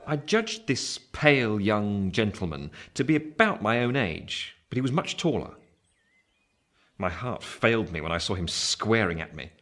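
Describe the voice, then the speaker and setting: An actor playing a grown man recalling his boyhood, narrating a literary radio play in a measured, wry way. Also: on the low side, very animated, a bit bright. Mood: animated, wry. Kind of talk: scripted first-person storybook narration.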